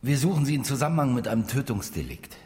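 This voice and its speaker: An actor playing a brusque, matter-of-fact police detective in a radio crime drama. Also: male voice, medium pitch, animated, quick pace, dark tone, in a large hall, natural voice. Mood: questioning.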